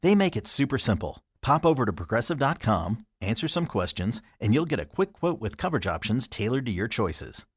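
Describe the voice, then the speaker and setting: A man's voice: mid-range voice, very animated, a little fast, hardly any pauses, slightly rough, no echo, clean studio sound. An American man reading a scripted advertisement as an announcer. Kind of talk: scripted ad read.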